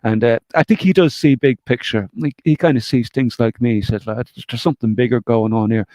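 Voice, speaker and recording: A sniffly man co-hosting a live stream, rambling on with plenty of repeated words and casual swearing. His voice sits at an ordinary middle pitch. The computer mic sounds close and dry, with no room around it. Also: a little fast, dark tone, no echo. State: sniffly, blocked nose. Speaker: co-host of a live online talk show.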